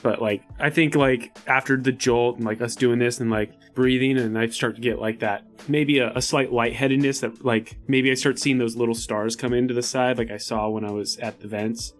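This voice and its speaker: A man's voice: mid-range voice, steady tone, moderate pace, hardly any pauses, soft background music. A man playing a tabletop RPG, improvising casually in character in a hesitant, rambling way. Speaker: player in a tabletop RPG podcast.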